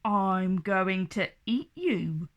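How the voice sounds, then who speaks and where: A woman voicing a big, hungry male dragon in a children's storybook, speaking in a polite, measured, pompous and theatrical way. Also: mid-range voice, lively intonation, highs cut off. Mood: stern.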